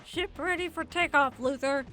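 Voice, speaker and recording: A woman's voice: sits high, moderate pace, pushed and bright, soft background music. A woman playing a dry, defiant, sarcastic supervillain pilot in a comedic radio play. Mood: businesslike. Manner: sardonic, matter-of-fact.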